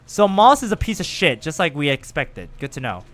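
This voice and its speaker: A man chatting casually with viewers and reacting out loud while he plays a game on a live stream. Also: fairly high pitch, moderate pace. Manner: chatty, animated, lively reactions while playing.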